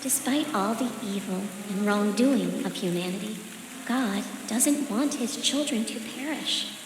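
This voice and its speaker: A devout, solemn woman voiced by an actress offers preachy consolation in a medium-pitched voice, as video game drama. Her words echo with long reverb amid clear rain noise. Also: measured pace, natural voice. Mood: devout consoling.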